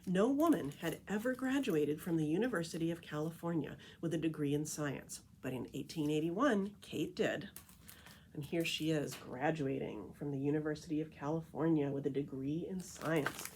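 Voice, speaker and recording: A woman reading a children's picture book aloud as a storyteller, with expressive, deliberate emphasis on key phrases. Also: deep voice, a little hiss or hum.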